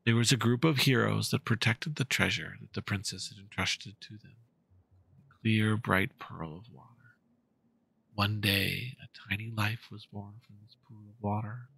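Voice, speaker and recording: A man's voice: on the low side, vocal fry, bright, forceful tone, husky, poor audio. A man narrating a fantasy story in a solemn, theatrical dramatic reading.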